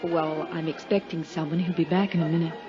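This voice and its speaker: A woman's voice: low-pitched, flat delivery, fairly quick, natural voice. Reserved, guarded widow female actor, courteous and hesitant, dull-sounding fifties radio play. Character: polite, guarded widow in a bar. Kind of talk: 1950s radio drama acting. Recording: dull 1950s radio recording, music behind.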